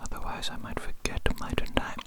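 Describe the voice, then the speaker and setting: A performer playing a soothing, absent-minded alien doctor in an ASMR roleplay, murmuring in a breathy whisper and repeating little phrases. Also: normal speed, close to an everyday voice. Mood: soothing, preoccupied.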